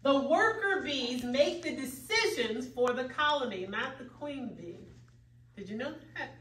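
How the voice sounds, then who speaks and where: A woman's voice: high voice, animated, a little slow, fairly bright tone. A young adult woman giving an emphatic, preacherly keynote speech, at times rising to exclamations. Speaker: keynote speaker. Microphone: far camera at back of room. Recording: echoey hall recorded from far off.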